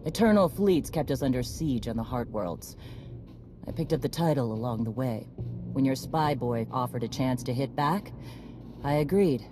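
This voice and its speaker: A woman playing a tough, blunt war leader in a game, terse and gruff. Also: extremely low pitch, lively intonation, normal speed, over music. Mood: gruff, weary. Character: tough, blunt female Mandalorian war leader, battle-weary. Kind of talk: scripted game cutscene dialogue.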